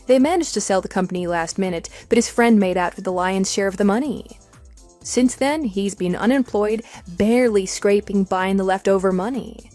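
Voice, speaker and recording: A woman's voice: mid-range voice, moderate pace, a little mellow, soft background music, close to an everyday voice. A woman playing a composed, matter-of-fact wife with a dismissive edge in a scripted audio drama. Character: composed, wry wife divorcing her cheating husband.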